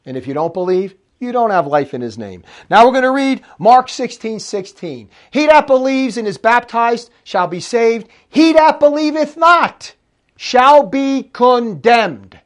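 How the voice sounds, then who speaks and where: A man preaching in a recorded lecture, his voice pitched high for a man. He talks emphatically and slows down to read scripture aloud. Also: expressive.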